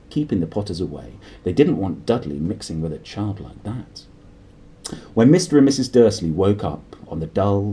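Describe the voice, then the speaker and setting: A man hosting a read-aloud of a children's novel, speaking expressively with dramatic emphasis, as if straight to the listener. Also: lowish voice. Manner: animated, dramatic emphasis, addresses the listener directly. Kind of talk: expressive storybook reading with chatty intro.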